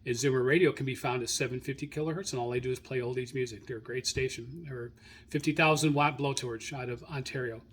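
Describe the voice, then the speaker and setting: A man hosting a radio hobby show, informally reading through his logs in a halting, self-correcting way as he searches his notes. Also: mid-range voice, fairly even intonation, a bit bright, breathy edge. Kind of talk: informal spoken log rundown, unscripted.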